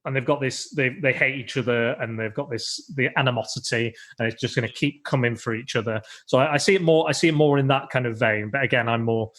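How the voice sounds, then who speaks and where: A man's voice: medium pitch, fast talker, few pauses, fairly bright tone. A man co-hosting a podcast, chatting casually about action films with many restarts and fillers. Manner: rambling, many restarts and ums. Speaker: podcast co-host. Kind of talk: casual film chat.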